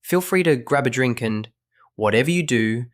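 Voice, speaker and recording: A man's voice: medium pitch, animated, normal speed, dry recording, studio quality, natural voice. A man as a terse, intense Victorian painter. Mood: guarded, dry. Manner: terse, commanding. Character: intense, controlling male Victorian painter, obsessive and curt. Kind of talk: audio drama acting.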